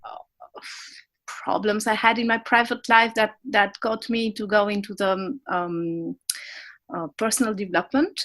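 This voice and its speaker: Animated woman, non-native English. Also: medium pitch, measured pace. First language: not English. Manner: animated and self-laughing, searching for words. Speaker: podcast guest. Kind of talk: casual conversation.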